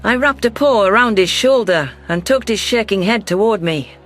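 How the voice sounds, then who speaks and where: A man reading scripted first-person science fiction narration in a measured, literary delivery. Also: high voice, lively intonation, fairly bright tone, soft background music. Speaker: audiobook narrator.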